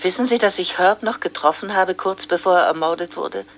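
A woman's voice: lowish voice, fairly quick, slight background noise, lightly acted, low-quality recording. An actress playing a solemn grieving townswoman.

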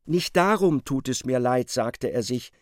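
A man giving expressive, scripted literary narration in a radio play. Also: medium pitch, unhurried, no echo, clean studio sound.

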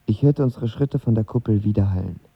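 Low, husky lovestruck young man. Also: brisk, dry recording. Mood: reflective, intimate. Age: young adult. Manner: intimate, reflective. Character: lovestruck impulsive young man in his twenties, narrating his romance. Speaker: lead actor and narrator. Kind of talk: intimate first-person audio drama narration. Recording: dull studio sound with music behind.

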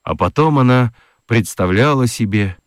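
A man's voice: mid-range voice, lively intonation, moderate pace, no room sound, clean studio sound. A man narrating a children's radio story expressively from a script.

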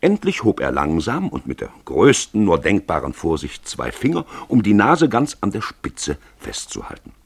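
A man narrates a radio play in a very low, husky voice with a gravelly edge. His storytelling is theatrical and wry, in a close, literary style. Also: wildly swooping pitch, moderate pace, few pauses, no room sound.

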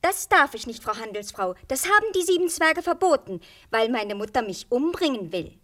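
A woman's voice: fairly high pitch, sing-song, moderate pace, a bit bright. An actress playing a naive, girlish young fairy-tale princess in a radio play, earnest, exclamatory and easily tempted. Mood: wary, frank.

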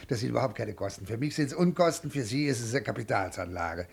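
An effusive male junk-shop salesman with a husky, creaky voice. He wheedles, flatters and repeats himself, forever pushing a bargain, in the manner of 1950s radio drama. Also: medium pitch, fast talker. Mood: haggling. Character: wheedling, fast-talking male second-hand clothes dealer. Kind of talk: 1950s German radio play acting.

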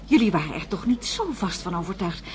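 An actress playing an earnest, loyal confidante who questions and reassures in a science-fiction radio drama. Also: female voice, on the low side, expressive, a little fast, natural voice. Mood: probing. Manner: reassuring, probing. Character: earnest, loyal female confidante gently questioning a troubled man. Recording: noisy radio recording with background ambience.

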